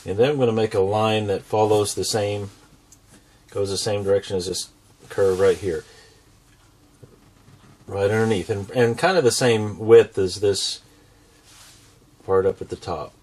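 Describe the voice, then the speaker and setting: A man's voice: lowish voice, steady tone, measured pace, long pauses, a little mellow. An American man in his forties hosts a drawing tutorial, explaining each step simply and patiently. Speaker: drawing instructor and show host.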